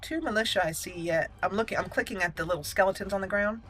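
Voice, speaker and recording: A woman's voice: medium pitch, fast talker, a bit bright. A woman hosting a gaming channel, giving casual roleplay commentary as she plays. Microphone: voice-over over game audio.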